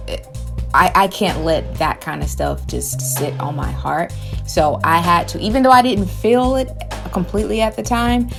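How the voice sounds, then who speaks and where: A low-voiced American woman with a noticeable creak talks casually to her vlog audience. She is candid and reflective, circling back over phrases to stress them, with faint music behind her. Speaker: vlogger.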